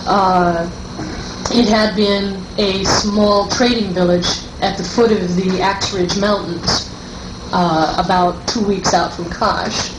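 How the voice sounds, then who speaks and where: Slow-spoken woman on a noisy old cassette. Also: mid-range voice, steady tone. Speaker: game world designer. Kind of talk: group interview discussion. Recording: noisy roomy 1982 cassette tape.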